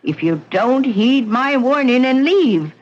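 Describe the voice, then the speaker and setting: Old widow prophet of doom, slow and insistent, dull old radio sound. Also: female voice, high voice, lively intonation, darkish tone, full character voice. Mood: foreboding. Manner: grim, insistent warnings. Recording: muffled old radio recording, faint hiss.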